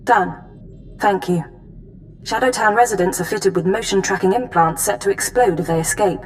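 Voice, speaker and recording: An actress playing a cool, efficient imperial intelligence officer in a video game, giving crisp, formal briefings. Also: female voice, medium pitch, normal speed, a little hiss or hum, natural voice, low-quality recording. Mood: brisk, businesslike.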